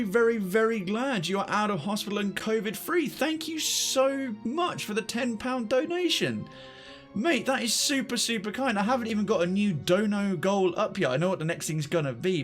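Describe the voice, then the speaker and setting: A man's voice: high-pitched, fairly bright tone. A man hosting a game livestream, chatting casually with his viewers and repeating phrases for emphasis.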